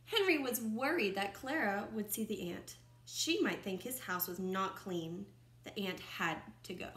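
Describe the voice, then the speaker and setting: A woman in her twenties reads a picture book aloud like a teacher to young children, expressively, pausing to comment and ask questions. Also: medium pitch, animated, noisy background. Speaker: first-grade teacher reading aloud. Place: small room at home, indoors by a window. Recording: roomy, echoing home recording.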